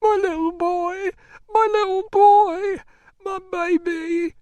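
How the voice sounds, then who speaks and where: A very high, tearful young woman, as a male narrator acts her out, an enslaved mother in an audiobook. She is distraught and bitter, wailing her accusations in a close, dry recording. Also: moderate pace, no room sound. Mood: grieving.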